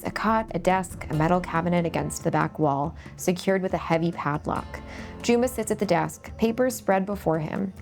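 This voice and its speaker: A woman's voice: fairly low pitch, a little mellow. A woman reading stage directions at a table read and hosting the talk afterwards. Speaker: host and narrator.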